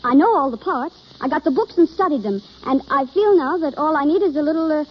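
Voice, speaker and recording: A woman's voice: high voice, lively intonation, a little fast. An actress playing a shy, earnest young woman yearning for romance in 1930s radio drama style, confiding and hesitant. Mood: flustered, shy. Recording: scratchy, muffled old radio broadcast.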